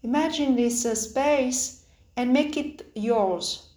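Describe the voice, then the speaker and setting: A woman leading a guided meditation with spoken instructions in halting phrases, pausing often between them. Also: sits high, darkish tone. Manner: hesitant, halting phrases with long pauses. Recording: roomy, echoing sound.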